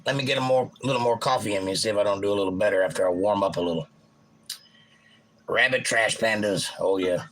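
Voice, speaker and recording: A hoarse man in his sixties hosting a casual livestream, rambling and reading out viewer comments. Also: fairly low pitch, fast, poor audio. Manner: rambling, folksy storytelling. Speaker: livestream host. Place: home livestream with guitar. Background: loud guitar playing.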